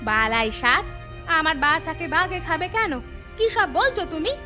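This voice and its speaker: Woman as a high-voiced nagging village wife. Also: big pitch swings, normal speed, over music. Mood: indignant. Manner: scolding, exclamatory. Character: nagging, thrifty, soft-hearted village wife and mother. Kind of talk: cartoon voice acting.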